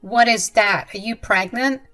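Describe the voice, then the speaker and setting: A woman voicing a scornful, spiteful middle-aged mother-in-law who talks loud and shrill. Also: measured pace, faint music behind, lightly acted. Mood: shocked.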